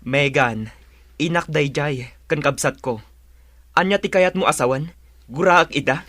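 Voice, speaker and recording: A man's voice: on the high side, unhurried, a bit bright, no echo, clean studio sound. A man playing an earnest, exasperated young husband torn between wife and mother in a radio drama. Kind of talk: serial radio drama acting in Ilocano.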